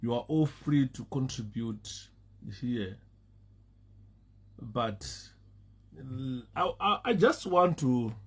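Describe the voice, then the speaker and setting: A man's voice: mid-range voice, animated, slow pace, pauses often, a bit bright, husky. A Zimbabwean man talking casually on a live stream, stopping and repeating words and laughing often. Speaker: Facebook live host.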